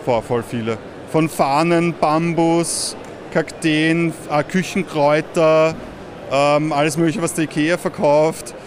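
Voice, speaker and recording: A man explaining his product at a fair stand, talking fast and casually. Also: fairly high pitch, steady tone. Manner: quick, casual, laughs often. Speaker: startup founder at a fair stand. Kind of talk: interview answers. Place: busy indoor fair hall.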